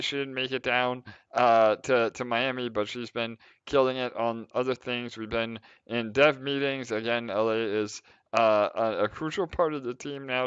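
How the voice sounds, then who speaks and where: A man's voice: mid-range voice, a bit bright, clean tone, no echo. A man hosting a casual livestream Q&A, talking with many filler sounds. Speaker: team lead and host. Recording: slightly dull, dry audio.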